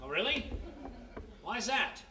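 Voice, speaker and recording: An actor playing a gruff, wry former thief turned private detective in a 1930s-style radio mystery. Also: male voice, sits high, very animated, slow pace, full character voice. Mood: bewildered. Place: live performance in a historic house. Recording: roomy live stage recording, distant mic, faint noise.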